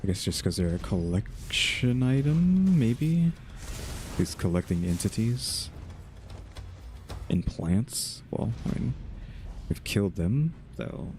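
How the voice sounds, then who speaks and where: A man's voice: on the low side, sing-song, slow, pauses often, darkish tone, slight background noise. A man giving casual, laid-back video game commentary, musing aloud as he plays. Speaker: gaming channel host. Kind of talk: casual let's-play commentary. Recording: clear game music behind voice.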